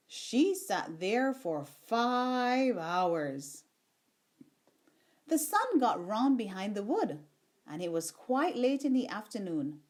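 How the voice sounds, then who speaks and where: A woman reading a children's storybook aloud in an expressive, unhurried storytelling manner. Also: fairly high pitch. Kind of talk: read-aloud storybook narration.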